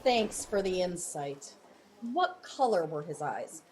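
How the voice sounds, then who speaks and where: A woman's voice: on the low side, very animated, slow pace, darkish tone. A woman playing a blunt, matter-of-fact police detective with dry delivery in an audio drama.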